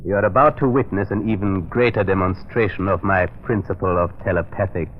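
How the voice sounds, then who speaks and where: A man playing a sinister, confident hypnotist doctor in old radio drama style, speaking with slow, suave menace. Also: low voice, fairly even intonation, natural voice. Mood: commanding. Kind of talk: 1940s radio drama acting. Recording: muffled, noisy old radio recording.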